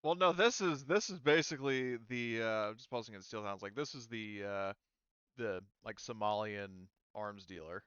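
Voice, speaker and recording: A man's voice: on the low side, normal speed, fairly bright tone, smooth, no echo, highs cut off. A man talking casually as the game master of a tabletop role-playing game.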